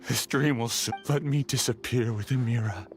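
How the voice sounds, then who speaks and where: A man's voice: mid-range voice, moderate pace, close to an everyday voice. An actor playing a despairing male scholar who speaks in a weary, resigned way. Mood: hopeless. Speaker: game character. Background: game music.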